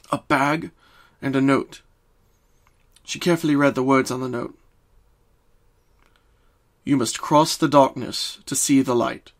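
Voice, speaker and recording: A man reading scripted story narration as a dramatic narrator. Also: fairly high pitch.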